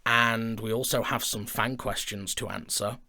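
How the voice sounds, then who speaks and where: A man's voice: fairly low pitch, fairly even intonation, measured pace, sharp tone. A man co-hosting a casual behind-the-scenes chat in a studio, talking in a chatty, informal and self-deprecating way. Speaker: podcast co-host and audio drama maker. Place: recording studio.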